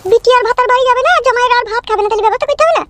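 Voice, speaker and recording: A woman voicing a crafty, bossy middle-aged mother-in-law in a cartoon, with a pitched-up effect. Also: rapid-fire, a bit bright, no echo. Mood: bossy, scheming. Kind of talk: comic cartoon dialogue.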